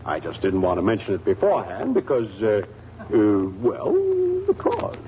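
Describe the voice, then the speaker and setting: A man's voice: on the low side, wildly swooping pitch, normal speed. An American man acting a sheepish, flustered middle-aged father in a 1940s radio comedy, hesitant and blustery. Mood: earnest. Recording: noisy, muffled 1940s radio recording.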